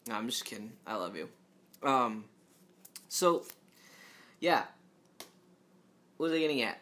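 A man hosting a casual solo podcast, rambling in a low, fairly monotone voice with many restarts and fillers, and joking asides to his listeners. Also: unhurried. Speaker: podcast host.

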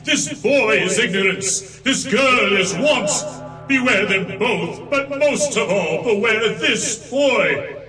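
An actor playing a solemn Christmas spirit who grows frail as his life ends, speaking slowly and gravely in a radio play. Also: sits high, lively intonation, sharp tone, far from the mic, soft background music, heavily acted. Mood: ominous. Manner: grave, slow, ominous. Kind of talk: 1940s-style radio drama acting.

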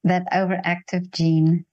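A woman's voice: on the low side, flat delivery, slow pace, dull audio. A woman interviewing in a casual, attentive way, with short agreeing replies. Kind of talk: casual chat.

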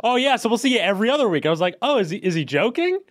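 A man co-hosting a casual podcast, an animated storyteller who acts out quotes and laughs a lot. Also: high voice, racing, noisy background. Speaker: podcast co-host. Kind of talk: casual chat.

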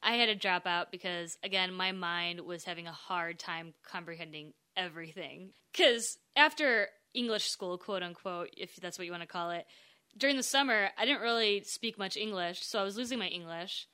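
A woman's voice: on the low side, normal speed, bright, forceful tone, clear, resonant tone. An American woman co-hosting a podcast, chatting casually and laughing often. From United States. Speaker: podcast co-host. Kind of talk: casual chat.

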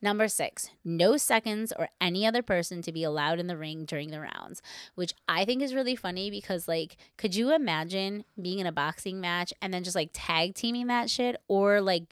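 Smooth, lowish-voiced woman, informal podcast chat. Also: dry recording, clean studio sound. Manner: informal, heavy use of "like", playful asides. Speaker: podcast co-host. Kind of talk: casual chat, retelling a story with asides.

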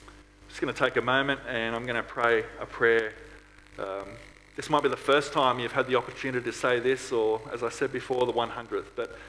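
A man's voice: mid-range voice, fairly even intonation, brisk, bright, forceful tone, slight background noise, poor audio. A man preaching a sermon to a congregation in an earnest manner, often putting rhetorical questions to his listeners. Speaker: preacher at a church.

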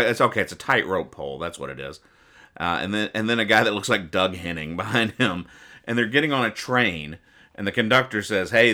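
Guy with a low but bright sharp voice, rambly mock-serious podcast host explaining funny stuff. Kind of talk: casual comedic read-aloud and explanation of comic strips.

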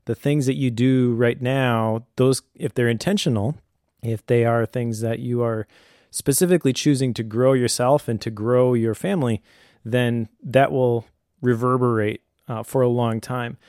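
A middle-aged man speaks with a warm, relaxed tone in a dry recording. He offers advice conversationally, as a host would, in an earnest and measured way that encourages the listener. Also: mid-range voice, no echo. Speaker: podcast host, homeschooling father.

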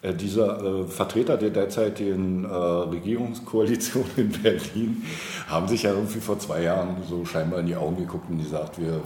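A man speaking as a politician in a casual interview, halting as he searches for words. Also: low voice, steady tone, a little mellow. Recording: roomy echo, clear background noise.

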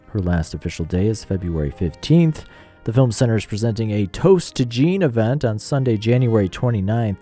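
A man hosting an arts radio show, speaking in a measured, articulate way as he reads scripted narration and asks interview questions. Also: mid-range voice, mellow.

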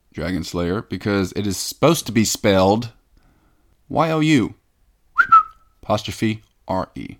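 A man hosting a comedic podcast, reading fan mail in a pompous, self-important, mock-indignant way. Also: fairly low pitch. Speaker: podcast host. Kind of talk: comedic scripted podcast banter.

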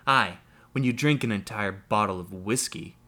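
A man voicing a stern, tired farm mother who speaks low and quietly. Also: moderate pace. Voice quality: low, quiet, hard-edged. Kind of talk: audiobook character voice.

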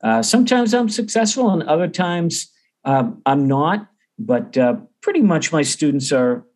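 A man's voice: a little high, expressive, measured pace, darkish tone. A middle-aged American man talking casually and conversationally as an interview guest about his own experience. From Boston area, United States. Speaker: percussion teacher, interview guest.

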